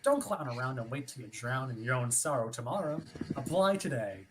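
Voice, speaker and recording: A woman's voice: a bass voice, lively intonation, fairly quick. A woman playing an upbeat, cheesy radio commercial announcer with a slick, salesy delivery in a play reading. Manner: salesy, peppy.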